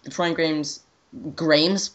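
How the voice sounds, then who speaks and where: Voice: mid-range voice, fairly even intonation, drawn-out words, a bit bright, highs cut off. A young adult co-host chatting casually and off the cuff about things, hesitant and rambling, often repeating words. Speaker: co-host of a gaming retrospective. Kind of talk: casual unscripted chat.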